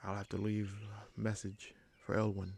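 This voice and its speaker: A man playing a gruff but caring human bandit leader in a fantasy audio roleplay, wry and protective, with informal, halting phrasing. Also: monotone, a little mellow. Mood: wry, determined. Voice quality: gravelly, husky low voice.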